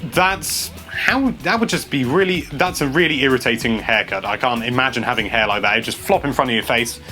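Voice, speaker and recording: A young man hosting a casual gameplay commentary, chatty and excitable, stumbling over his words and laughing a lot. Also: medium pitch, brisk, faint music behind. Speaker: games journalist host. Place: small indoor room.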